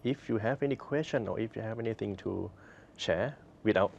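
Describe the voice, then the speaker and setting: Male host, very low and gravelly, relaxed questions, distant with faint hiss. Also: fairly quick, muffled recording. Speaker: interview host. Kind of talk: interview questions.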